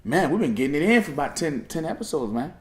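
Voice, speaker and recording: A man's voice: fairly high pitch, big pitch swings, quick pace. A young man from Haiti co-hosting a casual chat show with his wife, teasing and exaggerating for laughs. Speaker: podcast co-host. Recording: echoey room.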